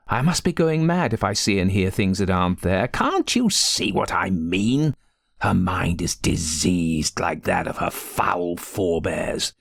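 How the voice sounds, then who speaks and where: Storybook role: indignant, insistent rector's wife performed by a man, very animated and raised in pitch, dry close sound. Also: creaky, no room sound, exaggerated voice. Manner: emphatic, goading, insistent. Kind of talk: audiobook character voice.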